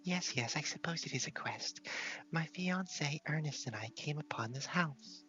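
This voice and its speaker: A man's voice: fairly high pitch, moderate pace, sharp tone, muffled recording, voice pushed up. A man voicing a worried, polite young woman pleading for help in an ASMR game roleplay.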